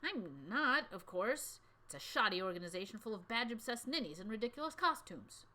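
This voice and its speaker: A woman's voice: mid-range voice, sing-song, moderate pace, bright, forceful tone, voice pushed up. A woman voicing a precocious, self-important fourth grade girl detective in a storybook, earnest, wordy and opinionated. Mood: scornful.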